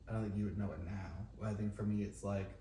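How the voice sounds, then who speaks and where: A man hosting a podcast, talking in an expressive, playful way. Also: on the low side. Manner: expressive, playful, quick to laugh. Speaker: podcast host. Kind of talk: casual conversation. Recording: echoey room, mic at a distance, faint noise.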